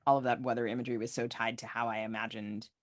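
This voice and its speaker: Woman podcast host, low voice, thinking out loud, tentative n reflective. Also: little pitch movement, quick pace. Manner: tentative, musing. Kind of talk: reflective casual chat.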